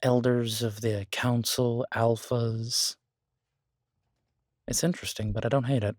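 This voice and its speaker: A man playing a shy, devoted chef boyfriend in an intimate audio roleplay, speaking in a halting, self-deprecating, affectionate way. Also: medium pitch, level tone, a little mellow, no room sound, studio quality, natural voice. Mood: wry, gossipy. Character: Beau, warm, shy, flustered male chef and devoted boyfriend.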